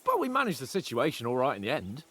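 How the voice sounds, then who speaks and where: A man's voice: mid-range voice, wildly swooping pitch, fast talker, bright, forceful tone, full character voice. An actor playing a cocky, teasing young nobleman in a game cutscene, joking and boastful with his friend. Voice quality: playful, mocking.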